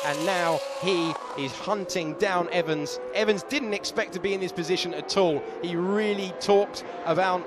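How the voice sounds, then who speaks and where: A man calls a motor race in brisk, flowing play-by-play, his voice sitting a touch high. The roar of race cars runs loud underneath him the whole time. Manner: brisk, fluent play-by-play calling. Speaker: lead commentator. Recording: voice over loud race-car noise.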